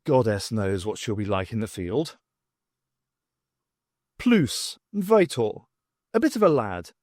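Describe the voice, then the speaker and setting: A man reading a first-person story aloud as an expressive audiobook narrator, with wry, dramatic, emphatic phrasing. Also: fairly low pitch, takes it slowly, no echo, studio quality. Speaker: audiobook reader.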